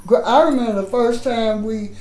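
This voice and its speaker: A woman's voice: fairly high pitch, moderate pace, dark tone. An older woman with a Kansas City accent, telling folksy stories of her life as an interview guest. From Kansas City, USA. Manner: folksy, rhythmic, laughs while telling. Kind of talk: storytelling in conversation. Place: home in a rural village. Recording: roomy echo.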